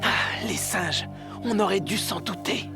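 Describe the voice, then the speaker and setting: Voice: mid-range voice, unhurried, gravelly. An actor voicing a level-headed, unimpressed panther with a dry manner in a French cartoon dub. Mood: dry, skeptical. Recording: loud cartoon music behind.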